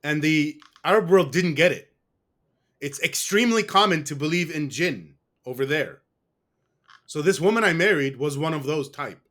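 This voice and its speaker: A man's voice: on the high side, animated, moderate pace, fairly bright tone. A man being interviewed, telling a long personal story in a rambling, casual way. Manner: rambling, with restarts and fillers. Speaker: guest. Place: West.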